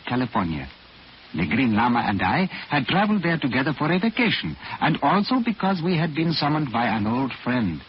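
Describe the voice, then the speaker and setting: An actor playing a devoted Tibetan manservant who tells the story in formal, measured 1940s radio style. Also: male voice, medium pitch, a little hiss or hum, natural voice. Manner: formal, measured, proverb-quoting. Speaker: narrator and sidekick. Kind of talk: 1940s radio drama acting and narration. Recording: dull vintage 1940s radio, music behind.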